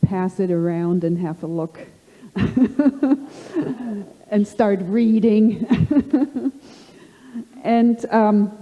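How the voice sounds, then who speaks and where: A middle-aged woman with a slight German accent hosting a live panel, halting and full of fillers. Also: medium pitch, fairly even intonation, phone-quality sound. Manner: halting, many fillers and restarts. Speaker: panel host. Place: conference hall. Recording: long hall reverb.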